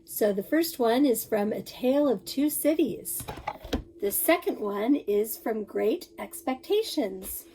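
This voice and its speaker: A woman with a fairly high voice speaks as an author giving a quiz to viewers. There is faint noise behind her. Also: animated, slow pace, mellow. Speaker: mystery author. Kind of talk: friendly quiz question to viewers.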